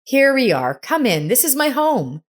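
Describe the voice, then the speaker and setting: A woman's voice: high voice, big pitch swings, unhurried, voice pushed up, full character voice. A woman narrator voicing an older woman in a story, motherly but bossy, plain-spoken and insistent. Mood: kindly, inviting.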